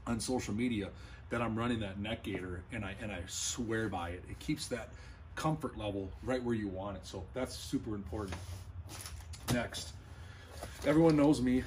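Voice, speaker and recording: A man's voice: on the low side, moderate pace, a little mellow, slight background noise. A man in his thirties, a fishing channel host, talking casually to the camera in a small indoor gear room. He sounds earnest and keen, repeating himself and restarting phrases.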